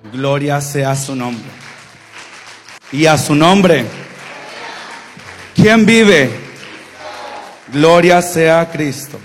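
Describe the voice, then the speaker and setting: A man in his twenties preaching in Spanish, leading the congregation and praying fervently with repeated invocations. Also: medium pitch. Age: 20s. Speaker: guest preacher. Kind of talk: evangelical sermon and prayer.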